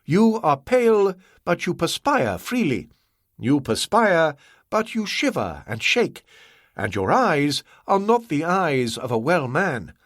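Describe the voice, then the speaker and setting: Storybook role: an icy, scornful merchant, deliberate and precise, voiced by the male narrator. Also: fairly high pitch, big pitch swings, a bit bright, dry recording, clean studio sound, pitched above the performer's own voice, exaggerated voice. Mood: cold. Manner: slow, clipped, coldly formal. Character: cold, contemptuous older male merchant, slow and formal.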